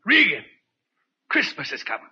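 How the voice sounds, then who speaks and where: An American actor playing a blustering, money-grubbing detective agency boss with a sharp, gravelly voice in a 1940s radio drama. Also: male voice, fairly high pitch, wildly swooping pitch, moderate pace, full character voice. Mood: irritated. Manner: blustery, wheedling. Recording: dull vintage radio recording.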